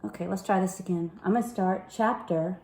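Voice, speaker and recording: A woman reading a children's book chapter aloud, with expressive, deliberate and dramatic storybook phrasing. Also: fairly low pitch, reverberant.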